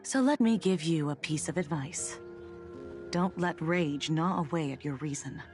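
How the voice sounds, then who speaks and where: An actress playing a cool, composed security officer in a video game, speaking with dry, faintly wry, businesslike authority. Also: female voice, low voice, measured pace, over music. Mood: wry, admonishing.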